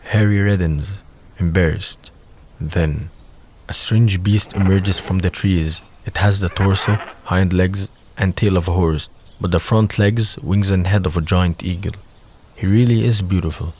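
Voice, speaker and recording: A man narrating a scripted audio drama in a measured, descriptive manner. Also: deep voice, a little mellow, slight background noise.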